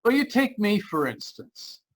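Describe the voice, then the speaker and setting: A man's voice: sits high, very animated, measured pace, a bit bright, full character voice. An actor playing a haunted, anxious driver narrating his road trip in old-time radio style, tense and earnest. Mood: uneasy. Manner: tense, earnest, dramatic storytelling. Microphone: video call. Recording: live video-call performance.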